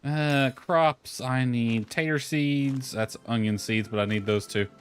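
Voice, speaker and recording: Male livestreamer, mid-pitched, chatty and offhand, narrating his own gameplay with faint music behind. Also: unhurried. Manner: chatty, thinking aloud while playing. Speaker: game streamer. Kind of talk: casual livestream gameplay chat. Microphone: headset.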